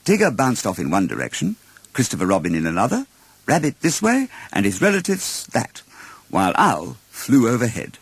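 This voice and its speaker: A man narrates a children's story with very animated intonation. His medium-pitched voice is husky and creaky, telling the tale expressively. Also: moderate pace, no room sound. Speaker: storyteller, audiobook reader.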